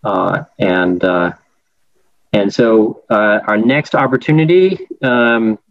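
A man with a fairly low voice gives a project update over a video call, pausing often with fillers and restarting his sentences. The audio is dull and lo-fi, with a dry, close sound. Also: vocal fry, no room sound. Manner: halting, many fillers and restarts. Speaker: project architect presenting to a school board.

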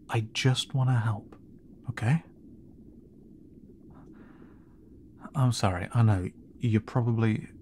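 A man playing a gentle, concerned merfolk rescuer, hesitant and reassuring, in an audio roleplay. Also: mid-range voice. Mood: alarmed, soothing. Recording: noisy ambience behind the voice.

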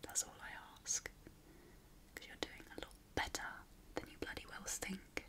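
A British woman speaking in a breathy whisper, giving encouraging, affectionate ASMR affirmations in an informal way. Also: normal speed, pushed and bright. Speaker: ASMR host.

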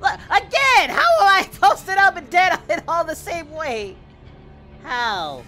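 A man voicing a goofy, excitable parody of a cartoon hero in casual reaction commentary, swinging from muttering to exclamations. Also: very high voice, slow, faint music behind, exaggerated voice. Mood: baffled. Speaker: reaction video host.

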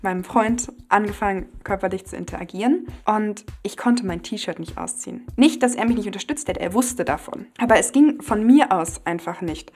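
A young woman of about twenty, from western Germany, talks openly and candidly while seated on a studio set. Her voice is mid-pitched, with faint music behind it. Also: moderate pace, few pauses, a little mellow. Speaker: interviewee. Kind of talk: personal testimony to camera.

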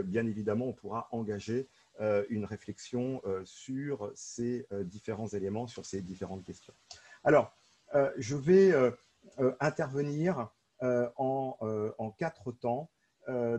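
Male consultant, French accent from France, mellow and husky, formal and hesitant webinar delivery. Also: medium pitch. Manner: halting, measured, with drawn-out pauses. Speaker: consultant giving a presentation to local elected officials. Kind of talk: formal online lecture. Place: small room, video call.

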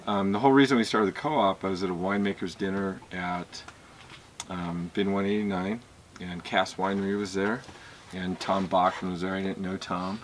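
A middle-aged man, a winemaker, tells his story in a relaxed, meandering way on an outdoor vineyard patio, picked up by a camera mic a few metres off. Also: deep voice, normal speed, a little hiss or hum. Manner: easygoing, meandering, with fillers and laughs.

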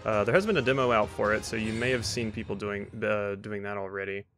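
A man's voice: on the low side, fast talker, fairly bright tone. A man giving casual, rambling gameplay commentary as a let's play host, with wry asides. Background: faint game music.

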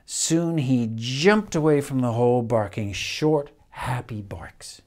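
An older man reads a children's picture book expressively, with measured phrasing and dramatic pauses. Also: mid-range voice, lively intonation, darkish tone. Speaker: storyteller reading a picture book. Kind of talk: expressive children's storybook reading. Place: indoors, room in a house with stone wall.

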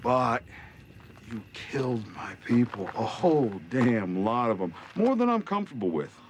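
An actor with an American accent playing a smug, cruel middle-aged gang leader in a TV drama, drawling and mocking with deliberate pauses. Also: male voice, medium pitch, sing-song. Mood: calm menace. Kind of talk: television drama villain monologue. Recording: TV drama clip with noticeable background noise.

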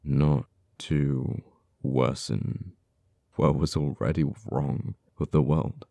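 A man playing an uptight, wounded but earnest government official in an ASMR audio roleplay, speaking haltingly with stammers. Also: very deep voice, fairly even intonation, creaky, dark tone, no room sound, full character voice. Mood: regretful, earnest. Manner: halting, hesitant delivery with stammers. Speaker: voice actor.

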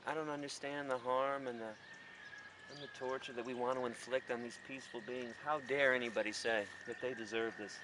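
Emphatic American man outdoors with clear background noise. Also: medium pitch, fairly even intonation, fairly quick. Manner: emphatic, repetitive, full of rhetorical questions. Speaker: animal rights advocate presenting a farm sanctuary. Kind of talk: informal on-camera commentary. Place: open farm field outdoors. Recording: noisy outdoor farm location sound.

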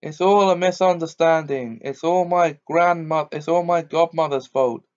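Man putting on a fussy old gentleman, wailing, trembling, high voice, storybook reading, dull lo-fi sound. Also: normal speed, lightly acted. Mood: panicked.

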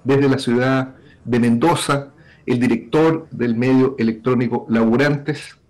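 A man's voice: mid-range voice, fairly even intonation, unhurried, darkish tone. A middle-aged man hosting a political talk show from home on a video call with a headset, giving formal, wordy introductions full of fillers. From Chile. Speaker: host. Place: home room with bookshelves.

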